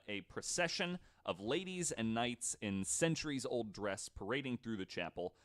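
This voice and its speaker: Man, lowish voice, podcast host, casual storytelling, informal and sweary. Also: a bit bright. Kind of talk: casual storytelling from notes with asides.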